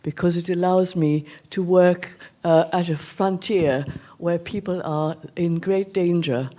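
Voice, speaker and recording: A man giving a reflective, earnest speech at a community event, speaking haltingly in an indoor hall. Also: high voice, steady tone, dark tone. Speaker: guest speaker.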